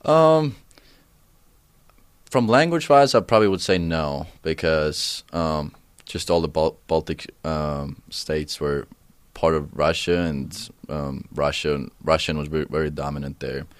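A man's voice: extremely low pitch, a little slow, clear, resonant tone, dry recording. A young man from Estonia, a soccer player answering interview questions in English, hesitant, with many fillers and restarts. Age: young adult.

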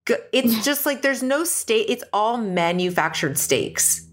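A woman co-hosting a podcast, chatting in a relaxed, wry way about a reality TV show. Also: fairly high pitch, unhurried. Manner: conversational, wry, frequent agreeing murmurs. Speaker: podcast co-host. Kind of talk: casual chat recapping a TV show.

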